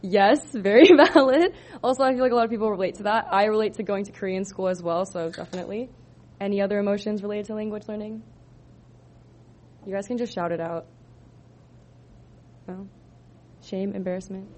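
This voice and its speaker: A young woman from Southern California presents her senior thesis to a room of listeners. She talks in a loose, casual way, filling pauses with ums and likes. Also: mid-range voice, a little mellow, a little hiss or hum. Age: 20s. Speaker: student presenter. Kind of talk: thesis presentation to a live audience.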